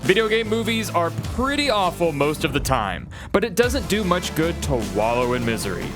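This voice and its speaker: A man's voice: a little high, big pitch swings, normal speed, over music. A man reading scripted narration for an entertainment video in the manner of a list presenter.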